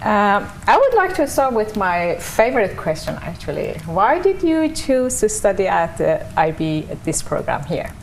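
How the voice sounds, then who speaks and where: A woman's voice: mid-range voice, sing-song, normal speed, vocal fry, hardly any pauses, a little hiss or hum. Hesitant woman hosting a panel. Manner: hesitant, frequent fillers. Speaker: host and business coach. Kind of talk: moderating a panel. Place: online open day session.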